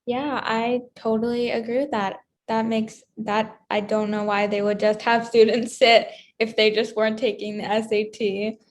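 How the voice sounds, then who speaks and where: A woman's voice: a little high, level tone, moderate pace, hardly any pauses, a little hiss or hum. A teenage young woman with an American accent, co-hosting a student podcast in a casual, chatty style.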